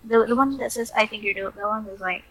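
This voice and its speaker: A woman's voice: on the high side, quick pace, fairly bright tone, dry recording. Young woman chatting casually, rambling. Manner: rambling, restarts often, laughs between thoughts. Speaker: podcast co-host. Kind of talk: casual chat. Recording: lo-fi home recording, rough sound.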